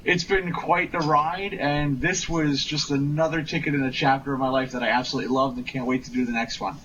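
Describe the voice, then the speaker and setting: A man's voice: medium pitch, steady tone, fairly quick, fairly bright tone. A man speaking casually as an interview guest on a remote call. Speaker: guest performer and sound effects provider. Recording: distant, dull, faintly noisy call.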